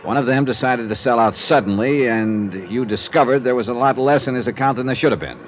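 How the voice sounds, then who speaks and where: A man's voice: medium pitch, close to an everyday voice. An actor playing a hard-boiled male insurance investigator in a 1950s radio drama, dry and wisecracking. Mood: wry. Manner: dry, wisecracking, brisk clipped delivery. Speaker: narrator and lead character. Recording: dull old radio transcription with hiss.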